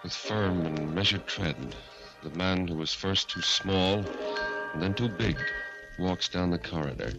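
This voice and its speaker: A man's voice: sits low, measured pace, dull audio. A man narrating and announcing a 1940s radio show in a formal, ominous, scripted style. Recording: 1940s radio broadcast recording. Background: music.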